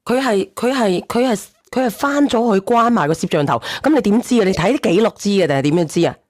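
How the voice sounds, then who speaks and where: Female call-in host, Cantonese accent, mid-pitched, very dry studio-close sound, blunt casual reactions. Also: lively intonation, fast, a little mellow, no room sound.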